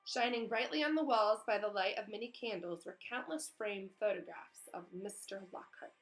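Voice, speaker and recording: A woman's voice: mid-range voice, normal speed, poor audio. A woman reading a children's storybook aloud expressively, in the way of a teacher.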